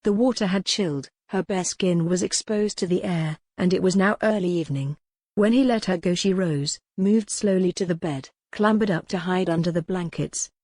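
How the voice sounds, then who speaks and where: A woman's voice: lowish voice, normal speed, a little mellow, no echo. A woman reading a historical romance novel as scripted audiobook narration.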